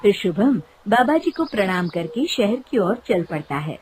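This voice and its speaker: A woman's voice: mid-range voice, very animated, moderate pace, a little mellow, husky. A woman reading scripted narration for a moral storybook cartoon.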